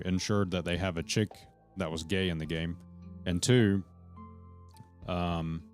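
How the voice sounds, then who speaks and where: A man's voice: low voice, darkish tone. A man chatting to viewers on his live stream, rambling from point to point, swearing freely and addressing people in the chat directly. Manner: rambling, profane, jumps between points; talks to chat members directly.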